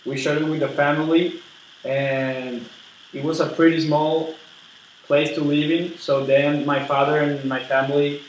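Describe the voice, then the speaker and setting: A man playing an eager, polite steel tycoon in a role-played interview, earnest and halting as he repeats words. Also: a little high, level tone, distant mic, natural voice. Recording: very echoey room with background noise.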